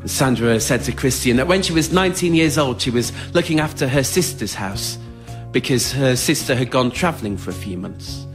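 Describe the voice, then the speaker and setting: A man's voice: medium pitch, expressive, normal speed, faint music behind. A man tells an autobiographical story to a theatre audience in an understated, wry, plain-spoken way, as the writer and performer of a solo show. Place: theatre stage in front of an audience.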